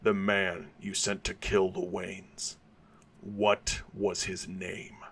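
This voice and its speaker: A man's voice: low-pitched, flat delivery, takes it slowly, fairly bright tone, a little hiss or hum, heavily acted. A man voicing a grim, obsessed male vigilante who speaks in terse demands. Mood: grim, demanding.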